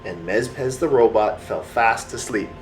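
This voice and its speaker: A storyteller narrating a children's bedtime story in simple, drawn-out phrases for small children. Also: mid-range voice. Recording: echoey room with faint music.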